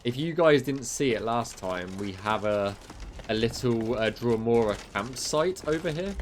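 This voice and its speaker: A man's voice: mid-range voice, lively intonation, measured pace, slight background noise. A man, a game mod developer chatting casually on a livestream, rambling and answering chat with many fillers, his voice getting tired. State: losing his voice.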